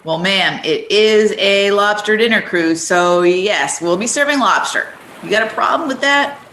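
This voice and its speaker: Actress, radio play hostess, brisk, blunt, highly animated, echoey room with background noise. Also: female voice. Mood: brisk, businesslike. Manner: brisk, no-nonsense.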